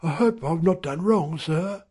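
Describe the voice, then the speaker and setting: A man's voice: a little high, measured pace, mellow, breathy edge, voice pushed up. A man voicing an ingratiating young manservant with a deferential, colloquial way of talking in an audiobook. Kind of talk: audiobook character dialogue.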